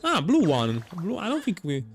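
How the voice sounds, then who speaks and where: A man's voice: high voice, wildly swooping pitch, moderate pace. A man giving casual, joking let's-play commentary as he reacts to a video game. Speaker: gaming streamer. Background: faint game music.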